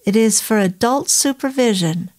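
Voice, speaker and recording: A woman reading the part of a gentle middle-aged male innkeeper who speaks in stiff, oddly formal, halting English. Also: a little high, expressive, close to an everyday voice. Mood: gentle, patient.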